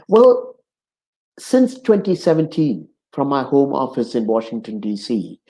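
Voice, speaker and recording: A man's voice: medium pitch, warm tone, poor audio. Earnest man in his sixties hosting. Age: 60s. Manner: earnest, measured, speaking in lists. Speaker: host. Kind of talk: hosted conversation opening monologue. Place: home office.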